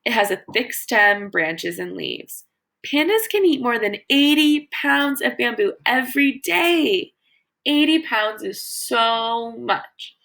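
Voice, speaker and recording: A woman teacher doing an educational read-aloud for children, explaining as she reads and pausing to wonder aloud and ask questions. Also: a little high, sing-song, vocal fry, sharp tone. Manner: explanatory, pauses to wonder aloud and pose questions to young listeners. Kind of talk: educational read-aloud of a children's nonfiction book with think-aloud comments.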